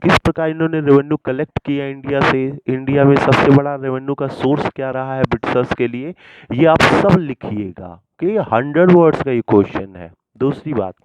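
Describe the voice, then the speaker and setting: A man's voice: medium pitch, moderate pace, heavy background noise. A man teaching a recorded school lesson in an instructional way, often checking understanding and mixing in English terms. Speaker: history teacher. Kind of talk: recorded school lesson, explaining exam questions. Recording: muffled, dull lo-fi audio.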